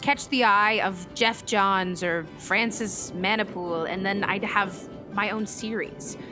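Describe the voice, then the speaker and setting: A woman's voice: medium pitch, takes it slowly, music in the background, lightly acted. A woman acting in a radio play as a thoughtful meteorologist, speaking in a natural, conversational storytelling way. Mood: wistful, open. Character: thoughtful female meteorologist and hobby comic illustrator.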